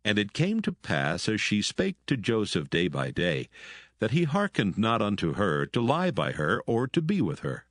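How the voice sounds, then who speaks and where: A man narrating dramatised scripture in a solemn, measured manner. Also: on the low side, wildly swooping pitch, a bit bright, no echo, clean studio sound.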